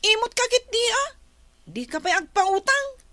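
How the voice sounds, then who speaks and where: A woman's voice: extremely high pitch, lively intonation, moderate pace, bright, forceful tone, no echo, exaggerated voice. A woman with an Ilocano accent playing a spendthrift, wheedling young daughter in a radio comedy drama, whining and pushy. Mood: sulky, pleading.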